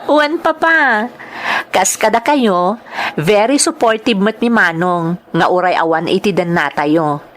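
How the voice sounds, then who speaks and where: An actress playing a dutiful, respectful young daughter and new teacher in an Ilocano radio drama, speaking politely with a Filipino accent. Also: female voice, medium pitch, expressive, a little slow, lightly acted. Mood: earnest, glad. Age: young adult.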